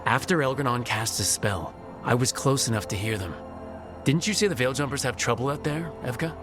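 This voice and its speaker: Man as resolute game hero, earnest, decisive, medium pitch, prominent background music. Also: brisk, close to an everyday voice. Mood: focused. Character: determined young male team leader in fantasy adventure. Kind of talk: video game dialogue.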